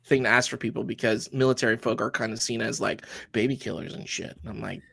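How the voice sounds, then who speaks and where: A man's voice: mid-range voice, fairly even intonation, fast, gravelly, fairly bright tone, slightly rough, no echo. Earnest veteran man from Honduras. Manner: earnest, plain-spoken, occasional swearing. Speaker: military veteran and security business owner. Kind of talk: casual interview answers.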